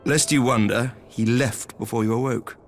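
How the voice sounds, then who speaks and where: A man plays a courteous lord in a fantasy game, with a medium-pitched voice that has a creaky edge. He speaks in a formal, gentle, measured way over faint music. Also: fairly even intonation, close to an everyday voice. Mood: relieved, solemn. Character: courteous, composed, caring fantasy nobleman. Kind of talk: dramatic video game voice acting.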